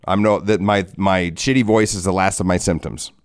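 Hoarse man, forties, low voice, smooth tone, rambling profane podcast host. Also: steady tone, normal speed. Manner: rambling, profane, self-mocking, frequent restarts. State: hoarse from a head cold. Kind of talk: solo casual podcast talk.

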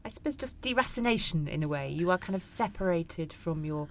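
A woman's voice: on the low side, animated, fairly quick, a little hiss or hum. A woman interviewing an author, asking long, eloquent questions about the author's books. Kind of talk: literary interview.

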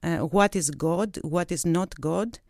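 A woman's voice: on the low side, slow pace, a little mellow, no room sound. A woman from Greece in her thirties, a research associate and PhD candidate, giving thoughtful interview answers in a studio and searching for her words now and then. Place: recording studio.

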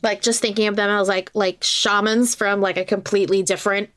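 A woman hosting a casual podcast interview, speaking informally with halting, appreciative phrasing. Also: medium pitch, little pitch movement, a bit bright.

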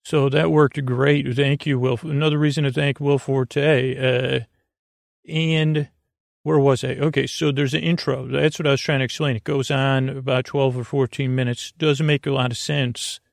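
Male bedtime-podcast host: creaky fry, dry sound, low-key meandering delivery with unfinished thoughts. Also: flat delivery, no room sound. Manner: meandering, halting, trailing off mid-thought. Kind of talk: rambling, casual bedtime monologue.

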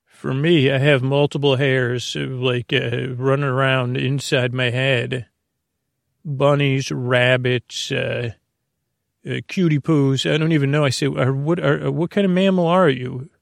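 A man with a creaky, gravelly voice at a middle pitch, recorded close and dry. He talks like a sleep-podcast host, gently lulling. His sentences wander, hesitate and drift into tangents. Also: fairly even intonation, measured pace, no room sound. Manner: meandering, hesitant, often trailing into tangents. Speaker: host of a bedtime-story podcast. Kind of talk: rambling sleep-podcast monologue.

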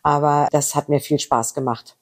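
A woman's voice: low voice, fairly even intonation, moderate pace. A middle-aged woman from Berlin with a slight Berlin accent, a voice actress telling anecdotes in a chatty, rambling interview. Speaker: voice actress guest. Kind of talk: casual interview chat.

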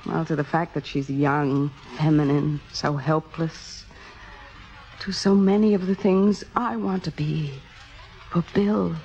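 An actress playing a temperamental, insecure stage star with a halting, self-mocking, theatrical delivery in 1950s radio drama style. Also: female voice, low voice, steady tone, vocal fry, mellow, full character voice. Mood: vulnerable. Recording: muffled vintage radio broadcast.

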